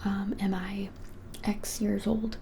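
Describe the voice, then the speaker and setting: Whispering young woman, soft and mellow. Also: mid-range voice, little pitch movement, creaky, a little hiss or hum. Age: 20s. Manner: soft whispering, hesitant and unhurried. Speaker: ASMR creator playing a witch shop keeper. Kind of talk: ASMR roleplay, whispered. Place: small plain-walled room, indoors.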